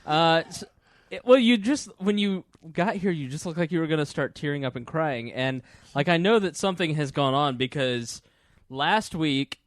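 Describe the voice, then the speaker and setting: A man's voice: a little high, normal speed, few pauses, dry recording, studio quality. A man hosting a casual comedy podcast, talking in a teasing, joking way. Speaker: podcast host.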